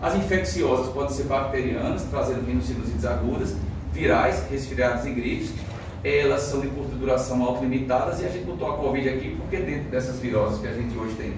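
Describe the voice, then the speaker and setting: A man's voice: medium pitch, fairly quick. Man, Brazilian Portuguese accent, medical lecture, very echoey hall, far from the mic, noticeable background noise. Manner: conversational, frequent fillers and tag questions. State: has a cold. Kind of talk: classroom lecture. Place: lecture room.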